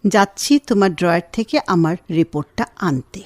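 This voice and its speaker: Lively-voiced, tender wife. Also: female voice, on the low side, moderate pace, dry recording, studio quality. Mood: insistent, complaining. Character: ailing wife, affectionate, gently insistent, self-effacing. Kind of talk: Bengali radio drama acting.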